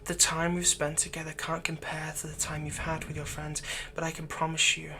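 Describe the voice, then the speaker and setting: Guy doing a gentle possessive fantasy villain, soft breathy asmr comforting, some background noise. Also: a little high, monotone, brisk. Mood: soothing, possessive. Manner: soft, soothing, often dropping to a breathy whisper. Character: possessive young male fantasy villain, tenderly soothing his captive. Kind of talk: ASMR roleplay, intimate scripted monologue.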